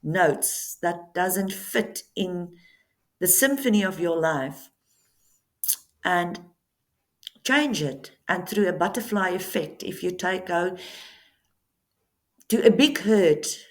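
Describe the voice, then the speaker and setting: Slow, hesitant woman. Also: fairly low pitch, lively intonation. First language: Afrikaans. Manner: slow, hesitant, with many ums and pauses. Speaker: author presenting her book. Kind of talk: casual talk to camera. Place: Namibian coastal town.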